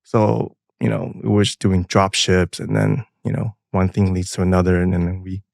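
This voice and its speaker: A soft-spoken man in his forties from Taiwan, a company founder chatting as a podcast guest with many fillers. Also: sits low, level tone, moderate pace, creaky, no room sound, studio quality. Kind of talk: casual interview chat.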